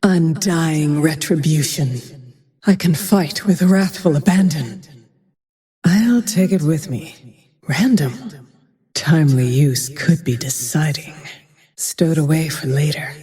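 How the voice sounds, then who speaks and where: An actress voicing a grim, vengeful frost archer in a video game, with cold, terse, menacing delivery and a breathy tone that sometimes drops to a whisper. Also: female voice, low-pitched, slow, mellow. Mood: brooding, vengeful. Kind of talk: video game character voice lines. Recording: long cavernous reverb tail.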